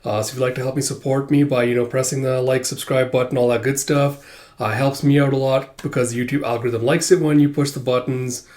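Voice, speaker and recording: Stuffy-voiced man halting with fillers. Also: mid-range voice, flat delivery, darkish tone. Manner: halting, many filler sounds. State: stuffy, sore throat from a cold. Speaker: manga reviewer. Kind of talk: casual chapter review, recapping.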